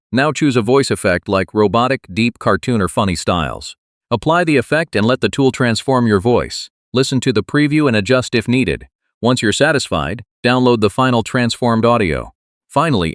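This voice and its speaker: A man's voice: fairly low pitch, no echo, clean studio sound. A man reading a scripted how-to narration as a tutorial narrator.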